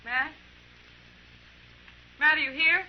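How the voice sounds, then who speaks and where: A woman's voice: high-pitched, takes it slowly, lo-fi sound. An American actress playing a headstrong, pleading frontier woman in an old radio western, urgent and emotional, on a noisy vintage recording. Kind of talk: old-time radio drama acting.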